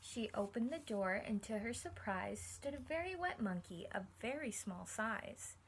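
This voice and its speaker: A woman teacher reading a picture book to children, encouraging them and pausing to explain things simply and ask questions. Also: on the high side, expressive, slight background noise, poor audio. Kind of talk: read-aloud lesson with questions to young listeners.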